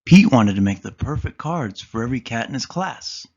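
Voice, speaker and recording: A man reading a children's picture book aloud with expressive storytelling. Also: medium pitch, normal speed, muffled recording.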